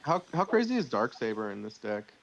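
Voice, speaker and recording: A man's voice: mid-range voice, big pitch swings, a little fast, gravelly, lo-fi sound, over a noisy crowd. A man giving deck advice in casual chat over a voice call. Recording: noisy voice call with clicks.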